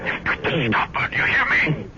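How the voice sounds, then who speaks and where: A man's voice: moderate pace, close to an everyday voice. An actor playing a ruthless businessman villain in a radio drama, curt and commanding. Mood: cold, commanding. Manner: curt, imperious. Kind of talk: 1970s radio drama acting. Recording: very noisy, muffled old radio broadcast.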